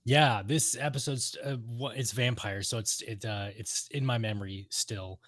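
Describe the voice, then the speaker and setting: A man's voice: mid-range voice, steady tone, moderate pace, a bit bright, dry recording, studio quality. A man co-hosting a podcast, chatting informally and with enthusiasm about games. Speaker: podcast co-host. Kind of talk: casual chat about games and radio plays.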